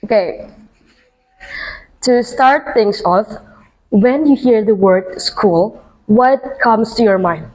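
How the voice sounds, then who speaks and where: A woman with a fairly high voice co-hosts a podcast. She asks questions in a friendly, encouraging way. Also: takes it slowly, pauses often, a little mellow, poor audio. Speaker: podcast co-host. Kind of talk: student podcast hosting.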